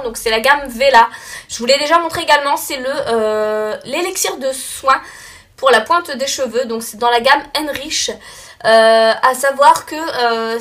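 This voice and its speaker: A woman's voice: high-pitched, a bit bright. A young woman with a slightly stuffy voice from a cold, chatting casually and rambling with many fillers about her monthly beauty favorites. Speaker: beauty vlogger.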